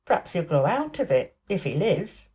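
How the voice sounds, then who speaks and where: A woman's voice: lowish voice, sing-song, normal speed, warm tone. A woman voicing a good-natured male head gardener in a storybook, respectful, amiable and plain-spoken. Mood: amused.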